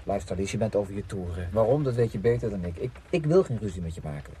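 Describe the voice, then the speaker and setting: An actor playing a proud, irritable writer with a curt, scornful manner in a radio play. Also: male voice, on the low side, expressive, fast, warm tone, a little hiss or hum, lightly acted. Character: proud, irritable male writer, bitterly frank with his woman friend.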